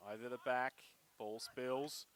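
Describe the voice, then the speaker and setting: Mid-pitched Australian man calling football. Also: fairly even intonation, a little slow, a bit bright, a little hiss or hum. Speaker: football play-by-play commentator. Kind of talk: live Australian rules football commentary.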